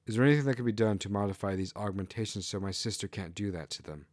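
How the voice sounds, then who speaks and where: A man's voice: fairly low pitch, quick pace, a little mellow, dry recording, clean studio sound, close to an everyday voice. A man voicing a righteous, compassionate male kitsune friar with an earnest manner in a fantasy story. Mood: concerned. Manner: earnest, morally indignant.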